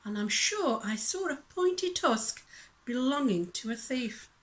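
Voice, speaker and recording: A woman acts out a storybook beaver full of grief. The voice is raised high, slow and plaintive, with a snuffling, wronged air. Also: animated. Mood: aggrieved, sad. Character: aggrieved, sorrowful beaver robbed of branches.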